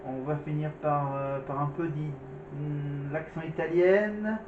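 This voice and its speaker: A man's voice: fairly high pitch, fairly even intonation, a little slow. Hesitant man narrating over a tinny line. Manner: hesitant, many fillers and restarts. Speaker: vlog host commenting on clips. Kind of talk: casual spoken commentary. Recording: echoey, distant, phone-like with noise.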